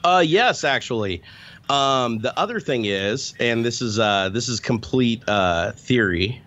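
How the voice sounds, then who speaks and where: A man's voice: medium pitch, lively intonation, measured pace, fairly bright tone. A man co-hosting a casual chat over a video call, talking in a profane, rambling way. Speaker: podcast co-host, former software tester. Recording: dull lo-fi call audio.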